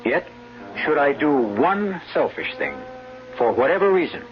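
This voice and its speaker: A man hosting an old radio show with a theatrical monologue, musing and deliberate with dramatic pauses. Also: fairly high pitch, very animated, faint music behind. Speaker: radio host. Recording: muffled old radio broadcast.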